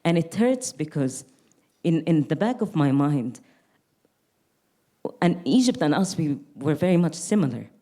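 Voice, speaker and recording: Low-voiced young woman, earnest and reflective. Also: lively intonation, a little slow, pauses often, warm tone. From Gaza Strip. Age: young adult. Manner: reflective, earnest, with frequent restarts. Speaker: artist. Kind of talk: panel conversation.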